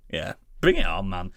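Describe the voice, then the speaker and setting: A man hosts a casual podcast panel with a very animated, swooping delivery. He is jokey and teasing, swears freely, and sounds close and dry. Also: low-pitched, creaky, no echo. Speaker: podcast host.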